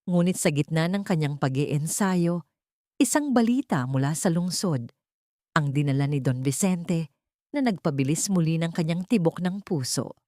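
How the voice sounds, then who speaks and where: A woman's voice: low-pitched, lively intonation, moderate pace, a little mellow, dry recording, studio quality. A woman with a Filipino accent narrating a scripted drama story in a solemn, theatrical storytelling manner.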